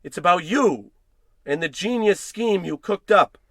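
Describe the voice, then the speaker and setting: A man's voice: high voice, lively intonation, a little slow, fairly bright tone, no echo, heavily acted. A man playing a paranoid, unraveling husband in old-time radio suspense style, theatrical, brooding and sardonic. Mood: accusing, heated. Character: paranoid husband unraveling over a piggy bank.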